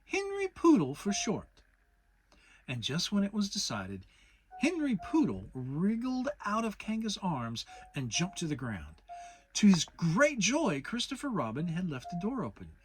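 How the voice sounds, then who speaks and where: A woman's voice: fairly low pitch, wildly swooping pitch, measured pace, slight background noise. A woman narrating a children's audiobook as a dramatic reading. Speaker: storybook narrator.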